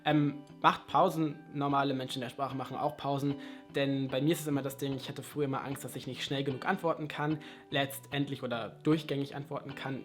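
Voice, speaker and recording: A man's voice: mid-range voice, a bit bright. A young man talking casually in an advice vlog, chatty and full of rhetorical questions and self-mocking asides. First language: German. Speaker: language vlogger.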